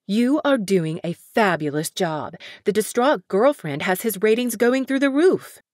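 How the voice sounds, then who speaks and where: Woman putting on a loud pushy campaign manager. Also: medium pitch, lively intonation, unhurried, no echo, studio quality, natural voice. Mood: gushing. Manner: loud, gushing and dismissive.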